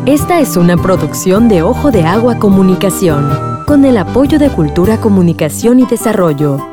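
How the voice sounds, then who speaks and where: A woman's voice: mid-range voice, very animated, moderate pace, loud music behind the voice. A woman reading scripted programme credits as an announcer.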